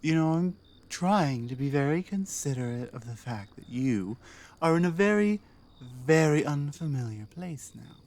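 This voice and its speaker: A woman performs a commanding orc queen in an intimate ASMR roleplay. Her low voice moves slowly and swings widely, sliding from imperious, sardonic challenge into teasing murmurs meant for her prisoner. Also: expressive, darkish tone. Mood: menacing, sardonic. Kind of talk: ASMR roleplay, addressing the listener.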